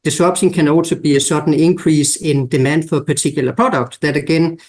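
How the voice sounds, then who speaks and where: A man with a Danish accent, a guest expert on a podcast interview, explaining in a measured way and listing examples. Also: fairly high pitch, steady tone. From Denmark.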